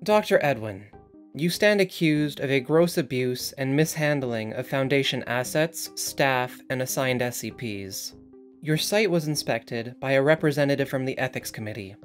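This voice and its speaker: Casting: woman playing stern council interrogator, deep female register, cold and authoritative, audio drama. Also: expressive, soft background music. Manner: authoritative, deliberate, severe.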